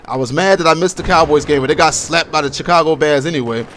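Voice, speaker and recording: Higher-pitched American man rambling over gameplay, noisy background. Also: quick pace, a bit bright. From Baltimore, USA. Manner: informal, slangy, opinionated. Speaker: gaming commentator. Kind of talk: casual rambling game commentary. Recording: home voice-over with clear background noise, gunfire. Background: video game gunfire and explosions.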